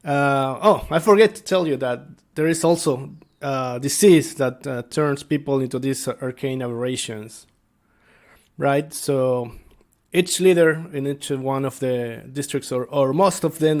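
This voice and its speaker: Halting man talking through game ideas. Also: mid-range voice, a little mellow. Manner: halting, thinking aloud. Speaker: tabletop game master. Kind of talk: casual unscripted explanation to viewers.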